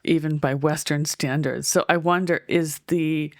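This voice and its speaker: A woman's voice: sits low, fairly even intonation, measured pace, no room sound, studio quality. A woman co-hosting a casual conversation podcast with a stuffy, nasal voice, explaining things simply and frankly and poking fun at herself. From Western country. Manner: frank, self-deprecating, explains words simply. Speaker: co-host of a bilingual English conversation podcast. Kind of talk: casual chat.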